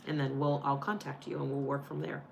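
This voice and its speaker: Older woman co-host, low chatty voice, faint room noise. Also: flat delivery, fairly quick, vocal fry, darkish tone. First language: Spanish. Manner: chatty, laughs often. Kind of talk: casual live Q&A chat.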